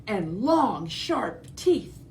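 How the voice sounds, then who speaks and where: A woman voicing a blustering male Tyrannosaurus who roars slow, booming boasts in a storybook reading. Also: sing-song, vocal fry, a little mellow, slight background noise, heavily acted. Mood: boastful.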